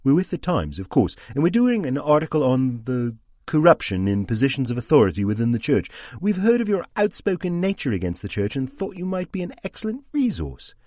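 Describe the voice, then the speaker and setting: A man's voice: mid-range voice, sing-song, brisk, a little mellow, dry recording, clean studio sound, voice pushed up. A man voicing a cunning investigator who speaks in an ingratiating, wheedling way. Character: smooth, cunning investigator posing as reporter.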